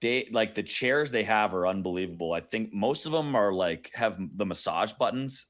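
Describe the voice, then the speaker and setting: A man's voice: fairly low pitch, lively intonation, moderate pace, fairly bright tone. A man, a hockey announcer, giving casual commentary as a radio guest. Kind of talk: casual sports interview commentary.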